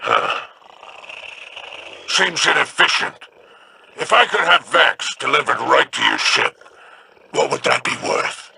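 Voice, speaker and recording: A man voicing a greedy, sly alien crime boss in a video game, gruff and raspy, with a mocking drawl. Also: medium pitch, wildly swooping pitch, slow pace, long pauses, pushed and bright, a little hiss or hum, heavily acted. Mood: grumbling, calculating. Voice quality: gravelly, growling, raspy. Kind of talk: video game character dialogue.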